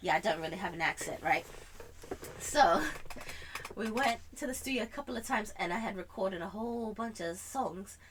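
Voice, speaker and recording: A woman telling an animated anecdote in casual chat, theatrically acting out dialogue with a put-on British accent. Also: medium pitch, moderate pace, slight background noise, low-quality recording. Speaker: storyteller.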